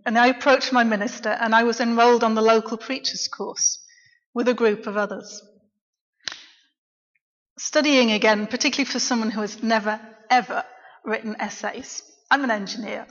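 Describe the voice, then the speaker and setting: A middle-aged woman, a local preacher, giving a quiet, reflective personal testimony in church. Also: fairly high pitch. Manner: soft-spoken, reflective. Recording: dull, echoey church recording.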